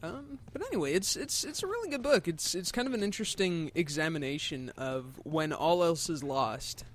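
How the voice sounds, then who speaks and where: A man gives casual gameplay commentary in a fairly high voice. He explains things thoughtfully and haltingly, with faint noise behind him. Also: lively intonation. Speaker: let's play commentator. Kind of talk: casual chat over gameplay.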